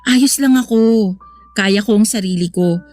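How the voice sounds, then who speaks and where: A woman's voice: mid-range voice, unhurried, a little mellow, soft background music, close to an everyday voice. An actress playing a caring, sensible young woman in a radio drama, speaking earnestly and reassuringly. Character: caring, sensible young woman consoling her troubled boyfriend.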